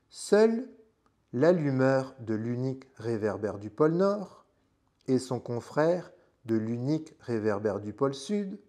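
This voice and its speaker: A man reading a storybook aloud as a French teacher would for learners, in measured, deliberate phrases with pauses. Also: mid-range voice, dark tone.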